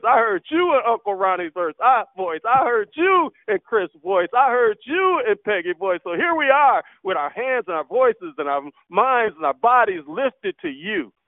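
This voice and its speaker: A man leads a fervent spoken prayer, his voice sitting somewhat high for a man. He speaks in impassioned, repetitive bursts full of hallelujahs. The sound is thin and tinny, as over a telephone, with a dry, close sound. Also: no echo. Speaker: prayer line leader. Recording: tinny phone line, dry.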